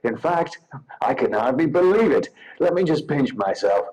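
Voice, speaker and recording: A man's voice: medium pitch, animated, moderate pace, darkish tone, low-quality recording. A man delivering a prepared, recorded acceptance speech as a podcast author.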